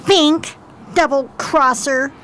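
A woman's voice: sits high, slow pace, a bit bright, slight background noise. A woman playing a bitter, mean, hard-drinking 1920s swindler in a radio play, snappish and slangy. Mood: furious.

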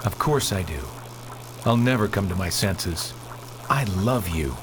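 A man playing an earnest, steady crewman in a space-opera radio play, speaking plainly and precisely. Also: measured pace. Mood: tender. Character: steady, technical-minded spaceship crewman, devoted lover. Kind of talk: amateur radio theater acting. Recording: noisy, constant rumble behind.

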